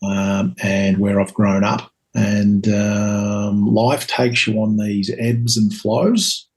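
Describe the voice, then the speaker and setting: Low flat-voiced Scottish man in his fifties. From Scotland. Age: 50s. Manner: hesitant, many ums and pauses. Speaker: guest. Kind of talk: reflective conversational storytelling.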